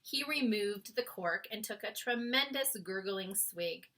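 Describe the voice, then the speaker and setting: A woman reading a children's story aloud as an expressive narrator. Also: medium pitch, measured pace. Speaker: storybook reader.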